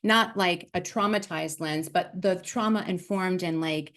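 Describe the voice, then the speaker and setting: A woman's voice: lowish voice, a little slow, fairly bright tone. A woman hosting a podcast, explaining her view thoughtfully and affirmingly, often checking in with "right?". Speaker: podcast host. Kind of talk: conversational podcast commentary.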